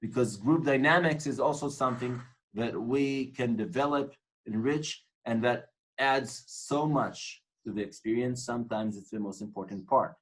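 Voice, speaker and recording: A man's voice: medium pitch, normal speed, poor audio. Man lecturing, earnest and reflective. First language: Hebrew. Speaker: tour guide instructor. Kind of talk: informal lecture on guiding.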